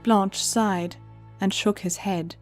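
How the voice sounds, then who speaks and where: A woman's voice: medium pitch, steady tone, slow, faint music behind. A woman narrating a scripted story as the narrator of an audio drama.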